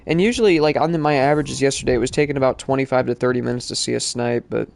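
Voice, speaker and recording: Congested male streamer with gravelly voice. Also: mid-range voice, very fast, a little mellow, no room sound. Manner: casual, rambling, many fillers. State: congested from allergies. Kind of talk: live-stream gaming chat.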